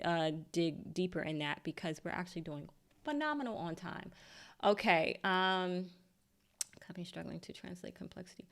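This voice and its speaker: A woman with a slightly low voice teaches a live online workshop. She is chatty and encouraging and laughs easily at her own slips as she explains things to her viewers. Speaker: LinkedIn marketing coach. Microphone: desk mic.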